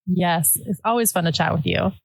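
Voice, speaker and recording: Female podcast guest, native Dutch speaker, talkative and relaxed, slightly low voice. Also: normal speed, gravelly, no echo, rough recording. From United States. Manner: chatty, says "like" often. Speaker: podcast guest, quilt pattern designer. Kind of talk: casual interview chat.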